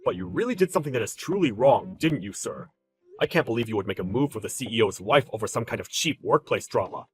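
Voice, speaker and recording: A man playing a calm, polite, deferential employee in a dramatized story, speaking in a measured and formal way. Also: mid-range voice, faint music behind, pitched below the performer's own voice, natural voice. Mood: calmly firm. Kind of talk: dramatized story narration with character voices.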